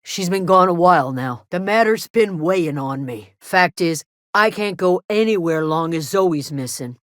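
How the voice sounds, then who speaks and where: Actress doing a gruff guarded frontier lady from a video game, lowish voice, plain folksy talk, short with people, dry studio sound. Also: animated, moderate pace, no echo, lightly acted. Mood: worried, dutiful. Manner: terse, wary, plain-spoken with folksy drawn phrasing. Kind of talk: video game character dialogue.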